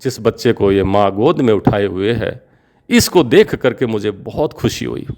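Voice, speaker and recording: A man's voice: on the low side, lively intonation, normal speed, a little mellow. A man voicing a remorseful grown prisoner making an emotional, pleading confession.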